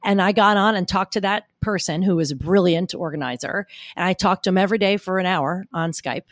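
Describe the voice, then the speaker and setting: A woman guest with a gruff, gritty voice, talking emphatically and animatedly in a podcast interview. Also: on the low side, a little fast, dry recording, studio quality. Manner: emphatic, argumentative, animated storytelling. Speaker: guest speaker coach.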